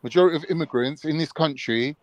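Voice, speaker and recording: A man, his voice sitting a little high, argues hard on a live panel. He cuts in, fires back questions and repeats them until he gets an answer. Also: quick pace, dry recording. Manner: argumentative, interrupts and repeats questions. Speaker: panel guest. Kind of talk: heated debate on a live call-in panel.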